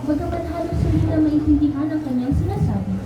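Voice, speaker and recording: Female radio drama narrator, high voice, scripted storytelling, far from mic with clear noise. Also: quick pace, dull audio. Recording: very echoey room, distant, clear noise.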